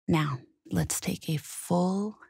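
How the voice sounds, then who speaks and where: A woman leading a guided meditation in a calm, gentle, soothing and measured way. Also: fairly low pitch, gravelly, slightly rough, no echo, clean studio sound.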